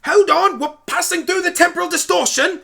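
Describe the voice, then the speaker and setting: A man's voice: very high voice, brisk, gravelly, pushed and bright, pitched above the performer's own voice, full character voice. A man voicing a brainy, flustered, eccentric time-traveling doctor, wordy and pedantic, in a fan audio drama. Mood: urgent.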